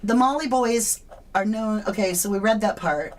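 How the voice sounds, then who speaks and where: A woman's voice: mid-range voice, normal speed. Lady doing a true crime livestream, casual and chatty, says hi to folks in chat, normal middle voice. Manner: chatty, greets viewers by name, folksy asides. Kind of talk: casual live-stream chat.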